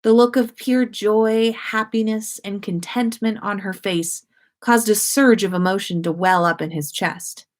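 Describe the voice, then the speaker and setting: Expressive woman, informal storytelling host. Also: mid-range voice, measured pace. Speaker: host of a fan fiction story-time livestream. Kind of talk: expressive story reading and casual livestream chat.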